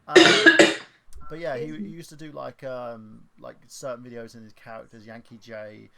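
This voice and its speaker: Gravelly man rambling on stream. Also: mid-range voice, fairly even intonation, sharp tone. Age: 30s. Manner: rambling, halting, many fillers and restarts. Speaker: stream host. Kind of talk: casual livestream chat.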